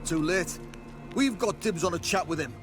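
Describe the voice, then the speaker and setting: A jeering, menacing peasant ruffian from a fantasy game. His voice is high for a man, coarse and taunting. Music is clearly heard behind it. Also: lively intonation, moderate pace. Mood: hostile, aggrieved. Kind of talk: video game dialogue.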